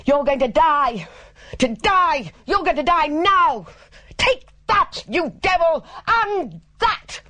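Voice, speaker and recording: A narrator voicing a raving, hysterical young man with a high, screaming voice, ranting bitterly in an audiobook story. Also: sing-song, slow, bright, forceful tone, heavily acted. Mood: hysterical rage. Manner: ranting, repetitive, theatrical.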